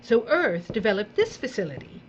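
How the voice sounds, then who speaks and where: A woman's voice: mid-range voice, sing-song, moderate pace, lo-fi sound, slight background noise, natural voice. A woman playing a breezy, callous female television boss in an audio drama, theatrical and drawling, with condescending endearments. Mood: smug.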